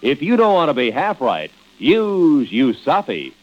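A man's voice: medium pitch, huge pitch swings, a little slow. An American man reading a 1940s-style radio public service ad as announcer, punchy and emphatic. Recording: muffled old radio broadcast recording.

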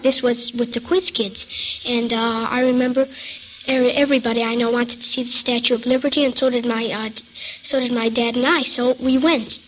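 A young boy on a quiz show speaking earnestly and formally, on a noisy vintage radio broadcast. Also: high voice. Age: child.

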